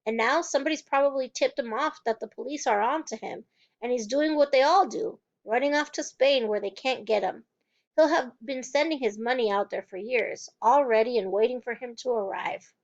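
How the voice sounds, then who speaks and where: A kind young teacher speaks in a soft, calm, reassuring manner, as a woman puts her on. Her voice sits fairly high, and the storybook recording sounds slightly muffled. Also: normal speed, lightly acted. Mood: gentle.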